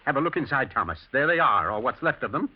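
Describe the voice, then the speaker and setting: Wry gentleman, husky, old radio. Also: medium pitch, big pitch swings, quick pace. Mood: wry, suspicious. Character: shrewd, suspicious, wry well-bred household master. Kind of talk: 1940s radio acting. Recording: muffled, grainy old radio broadcast.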